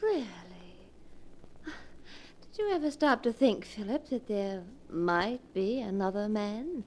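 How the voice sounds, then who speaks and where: A woman's voice: fairly high pitch, lively intonation, slow pace. A woman playing a cold, scornful wife in a 1940s radio melodrama, with a dismissive, theatrical manner. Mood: taunting. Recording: noisy vintage 1940s radio broadcast.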